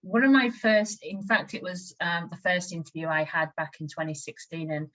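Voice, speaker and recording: Low-voiced Yorkshire woman telling stories. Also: steady tone, moderate pace, a bit bright, low-quality recording. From West Yorkshire, England. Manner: frank personal storytelling. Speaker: interviewer. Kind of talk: conversational interview.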